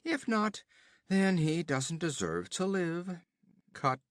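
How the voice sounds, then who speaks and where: A man voicing a hard, boastful outlaw chief who gives orders in a raised, loud voice. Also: high-pitched, very animated, slow pace, dry recording, studio quality, voice pushed up, full character voice. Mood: swaggering. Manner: boastful, commanding.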